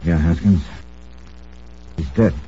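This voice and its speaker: A man's voice: low voice, takes it slowly, warm tone. An American man playing a hard-boiled insurance investigator in a clipped, wry old radio detective style. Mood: terse. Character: hard-boiled, brisk male freelance insurance investigator narrating his case. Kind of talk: 1950s radio detective drama acting. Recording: noisy, dull old radio recording.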